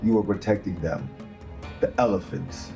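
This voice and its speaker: Man reading a low-voiced calculating boss, terse, mellow, controlled. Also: normal speed, faint music behind, close to an everyday voice. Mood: pressing. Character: commanding male militia boss, calculating. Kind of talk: table read.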